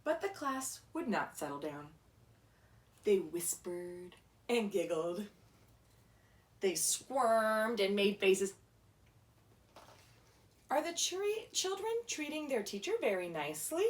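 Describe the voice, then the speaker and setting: Slow animated woman doing a kids book read-aloud, teacher style, echoey and a bit far from the mic. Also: mid-range voice. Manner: asks the child listener questions, simple explaining. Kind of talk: expressive children's picture book read-aloud. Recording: echoey room, distant microphone.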